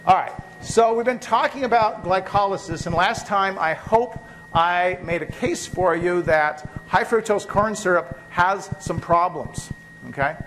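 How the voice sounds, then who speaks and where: A man's voice: high-pitched, a little slow, fairly bright tone, poor audio. A male biochemistry professor giving a university lecture while catching a cold, speaking loosely with many fillers and restarts. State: catching a cold, occasional coughs. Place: lecture hall.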